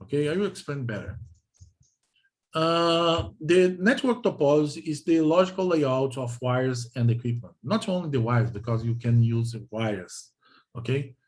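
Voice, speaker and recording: A male lecturer with a Brazilian accent and Portuguese as his first language explains technical material. His voice sits at an average pitch. He proceeds haltingly in non-native English, restating phrases and pausing to check that listeners follow. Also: animated. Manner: halting, repeats and checks with "okay?".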